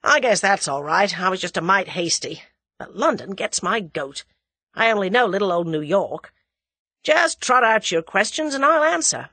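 A woman's voice: medium pitch, big pitch swings, moderate pace, bright, forceful tone, dry recording, exaggerated voice. A woman voicing a brash, impatient American man in his thirties, blunt and slangy, in a storybook reading. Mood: blunt, easing.

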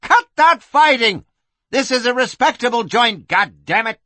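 A man's voice: very high voice, animated, measured pace, sharp tone, dry recording, voice pushed up, heavily acted. A man voicing a feisty, foul-mouthed female bordello madam in a western audiobook, bellowing and screeching. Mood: angry, commanding.

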